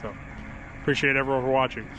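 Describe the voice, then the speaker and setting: A man filming a casual fishing vlog outdoors in winter, sounding stuffy from a cold, talking in a rambling, relaxed way with small chuckles. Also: medium pitch, quick pace, low-quality recording. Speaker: fishing vlogger. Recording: outdoor recording with clear background noise.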